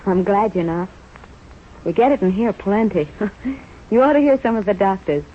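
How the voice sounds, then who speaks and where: An actress playing a cheeky, devoted young nurse trainee from North Dakota in an old-time radio drama, speaking in a frank, informal way. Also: female voice, medium pitch, lively intonation, normal speed, slight background noise, natural voice. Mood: frank, playful. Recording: muffled vintage radio broadcast recording.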